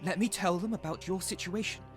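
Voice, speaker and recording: Man acting a high-voiced, pleading young wizard. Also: faint music behind, close to an everyday voice. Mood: fearful pleading. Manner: hurried, earnest, pleading. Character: frightened, pleading young male wizard intruder. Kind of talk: dark visual novel audio drama acting.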